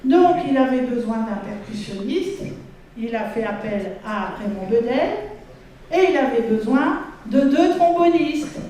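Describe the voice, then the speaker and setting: Woman, high voice, informal storytelling, distant mic, noisy reverberant hall. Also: animated, measured pace, warm tone. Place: auditorium, live event. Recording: echoey auditorium, distant mic, clear noise.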